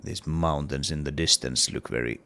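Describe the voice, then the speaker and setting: A man's voice: extremely low pitch, moderate pace, pushed and bright, clean tone. A man with a cold and a partly lost voice, talking casually through his game level in a hesitant devlog with many fillers. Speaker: indie game developer.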